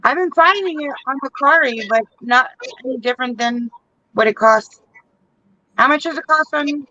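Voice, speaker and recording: Co-host: woman, high bright voice, teasing and playful. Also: normal speed, dry recording, dull audio. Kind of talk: casual group chat.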